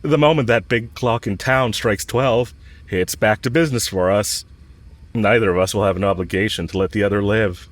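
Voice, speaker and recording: A man's voice: lowish voice, normal speed, dry recording, lightly acted. A man playing a cocky, smitten villain who talks casually, frankly and teasingly to the listener in a romantic audio roleplay. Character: smitten male villain, cocky, teasing, bluntly confessing love.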